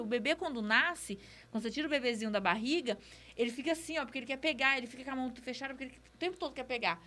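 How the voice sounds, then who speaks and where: A woman's voice: a little high, a bit bright. A woman with a Brazilian accent giving casual advice on a podcast in a conversational, explanatory way, like a mentor. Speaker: podcast speaker, business mentor.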